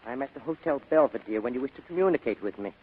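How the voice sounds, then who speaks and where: Fussy, sinister crook, husky, band-limited. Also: male voice, medium pitch, expressive. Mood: formal, insistent. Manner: formal, precise, over-polite phrasing, hesitant pauses. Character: polite, fussy, sinister foreign crook with a gun. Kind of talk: 1940s radio drama acting. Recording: muffled vintage radio drama recording, phone-like band.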